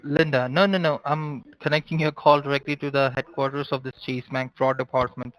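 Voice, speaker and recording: A man speaks as a call-center agent at a medium pitch. He is formal and explanatory, pressing his instructions on the listener. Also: fairly even intonation, brisk, fairly bright tone, rough recording. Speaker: phone scammer posing as support agent. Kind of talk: call-center phone talk.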